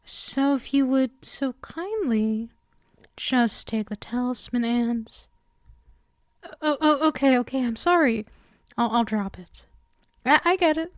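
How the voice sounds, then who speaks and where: Woman playing a slow, soft-spoken lonely demon girl. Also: high voice, no echo, studio quality. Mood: pleading, apologetic. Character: lonely, coaxing female demon sealed in a cage. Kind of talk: intimate ASMR roleplay monologue.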